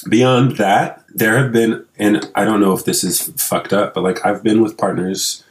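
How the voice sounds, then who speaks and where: A man's voice: lowish voice, fairly even intonation, a little slow, rough recording. A man in his twenties co-hosting a podcast, chatting casually in a candid, joking, profane way. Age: 20s. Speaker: podcast co-host.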